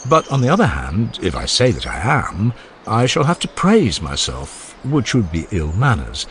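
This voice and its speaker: A man's voice: lowish voice, wildly swooping pitch, moderate pace, a bit bright, slight background noise. A man playing a modest young pupil in a dramatized philosophical dialogue, answering politely and earnestly. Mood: modest, hesitant.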